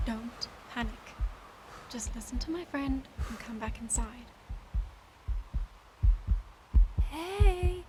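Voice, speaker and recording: Woman acting a high-voiced wasteland rescuer. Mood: urgent, coaxing. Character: bickering female rescuers in a fantasy wasteland. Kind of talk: audio drama acting. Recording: clear background noise.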